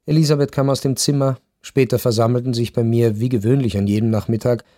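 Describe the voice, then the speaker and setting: An actor playing a reflective former officer who narrates his memoir in a measured, literary radio drama style. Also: mid-range voice, steady tone, warm tone, no room sound, studio quality, natural voice.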